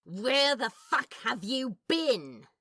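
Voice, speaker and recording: Woman playing a small, fierce, slow-spoken bar boss. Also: sits high, very animated, fairly bright tone, exaggerated voice. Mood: demanding. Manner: blunt, demanding, sweary. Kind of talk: fan fiction audio drama acting.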